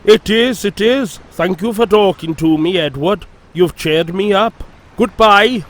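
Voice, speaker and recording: A man's voice: high-pitched, unhurried, a little hiss or hum, pitched above the performer's own voice. A man doing a kindly old steam engine. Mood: grateful. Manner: warm and grateful.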